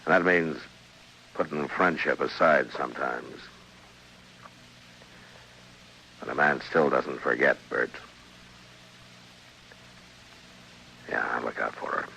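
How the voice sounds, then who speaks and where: An actor playing a stern, weary frontier marshal in an old radio western, grave and plain-spoken. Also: male voice, very deep voice, moderate pace, vocal fry, bright, forceful tone, full character voice. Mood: grave, reassuring. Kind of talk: old-time radio western drama acting. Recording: noisy old radio drama recording.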